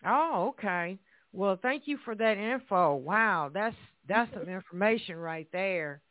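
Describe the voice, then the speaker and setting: Chatty slangy lady host, dry phone sound, mid pitch. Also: a little slow, no room sound. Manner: lively, slangy and enthusiastic. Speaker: radio show host. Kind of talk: call-in radio talk. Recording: dry tinny phone line.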